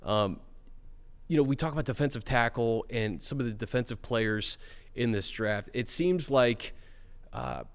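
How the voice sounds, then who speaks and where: Smooth male host in a reverberant room. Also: mid-range voice, big pitch swings, a little slow. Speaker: show host. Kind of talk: casual sports chat.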